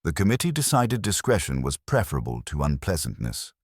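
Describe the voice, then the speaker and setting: Man reading as a prim gossip, very deep-voiced. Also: sing-song, fairly quick, slightly rough, no echo, studio quality, heavily acted. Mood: prim, confiding. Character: prim, gossipy respectable townswoman witness.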